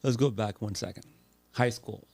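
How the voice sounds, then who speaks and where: A man's voice: lowish voice, fairly even intonation, normal speed, a little mellow, no echo. A man hosting an interview, asking casual, playful questions and adding his own guesses. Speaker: interview host.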